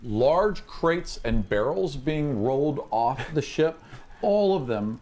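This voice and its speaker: A man runs a tabletop fantasy game as its game master. He improvises scenes in an animated, conversational style and throws in his own vocal sound effects. His pitch is average for a man. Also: slow pace, slight background noise.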